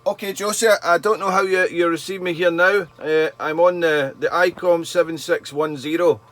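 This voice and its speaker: A man's voice: a little high, steady tone, moderate pace, fairly bright tone, slight background noise. A man chatting casually as a radio operator, often repeating his phrases for emphasis. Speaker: radio operator and YouTube channel host. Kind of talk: casual ham radio chat.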